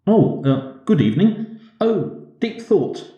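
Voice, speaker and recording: A man playing an anxious, eager alien programmer in an amateur radio play, hesitant and easily flustered, pleading excitably. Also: takes it slowly, dull audio, exaggerated voice. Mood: nervous, eager. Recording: very echoey, boomy room.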